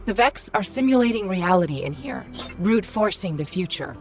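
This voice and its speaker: A woman voicing a sassy, quick-witted AI companion spirit in a video game, conversational and direct, with music behind her. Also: medium pitch, sing-song. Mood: matter-of-fact. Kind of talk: video game cutscene acting.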